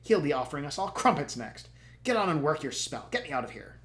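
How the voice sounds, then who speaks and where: A man's voice: fairly high pitch, sing-song, fast talker, a bit bright. A man voicing a scheming, arrogant young prince who speaks with haughty, dramatic menace. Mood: disgusted.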